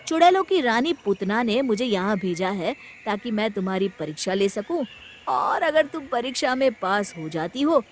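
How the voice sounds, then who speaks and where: A woman's voice: on the high side, big pitch swings, normal speed, a little hiss or hum. A woman voicing a cunning, confident village man in a storybook tale, speaking persuasively.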